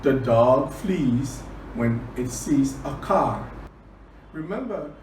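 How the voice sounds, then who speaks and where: A man from Liberia telling a children's story and teaching words, deliberate, repeating phrases for emphasis. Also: mid-range voice, lively intonation, a little mellow. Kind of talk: children's storytelling and word lesson. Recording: roomy, distant, clearly noisy recording.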